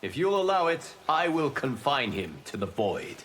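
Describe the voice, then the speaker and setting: An actor playing a solemn fire god and guardian in a video game, speaking in a measured, formal, cryptic way. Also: male voice, mid-range voice, sing-song, a bit bright, slight background noise. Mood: grave, counseling.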